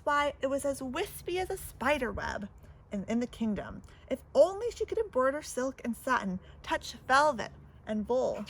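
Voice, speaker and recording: A woman's voice: on the high side, fairly bright tone, a little hiss or hum, low-quality recording. A woman reading a children's picture book aloud with theatrical, dramatic emphasis. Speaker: storybook reader.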